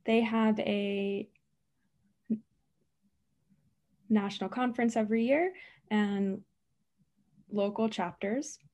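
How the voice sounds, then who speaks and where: A woman who stutters speaks in an unhurried, deliberate way, often repeating sounds and words. As a speech therapist and interview guest, she gives earnest, emphatic answers. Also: medium pitch, darkish tone. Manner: stutters, with repetitions and blocks. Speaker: guest, speech-language pathologist.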